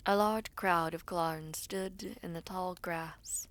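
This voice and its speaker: A woman playing a formal, composed villainess devoted to her prince, speaking in slow, breathy, measured phrases in a fantasy audio roleplay. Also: lowish voice, steady tone, fairly bright tone. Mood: somber, reflective. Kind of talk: fantasy audio roleplay with first-person narration.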